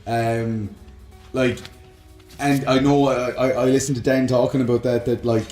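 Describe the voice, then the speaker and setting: A man's voice: medium pitch, level tone, unhurried, darkish tone, echoey room, faint music behind. A man co-hosting a casual chat about films and games, talking in a rambling, enthusiastic fan's way. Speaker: podcast co-host.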